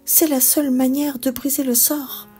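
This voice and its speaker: A woman's voice: high voice, little pitch movement, moderate pace, dark tone, breathy edge, soft background music, pitched above the performer's own voice. A woman voicing a small, kindly male elf from a children's storybook, concerned and earnest. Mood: earnest, resolute. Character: kindly little male elf helper with sparkling wings.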